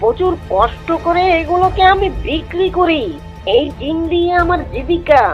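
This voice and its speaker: A woman's voice: very high-pitched, expressive, moderate pace. A woman voicing a sly, hardworking jackal shrimp seller in a Bengali cartoon, with a sing-song vendor's delivery. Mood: indignant, firm. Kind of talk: Bengali cartoon character dialogue. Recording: dull lo-fi audio with music behind.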